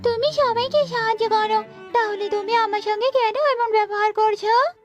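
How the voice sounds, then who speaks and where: A woman's voice: extremely high pitch, normal speed, lo-fi sound, loud music behind the voice, voice pushed up. A woman voicing a poor, earnest little bird in a children's cartoon, in a plaintive manner. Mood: indignant. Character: poor small pleading bird, thirsty and hungry.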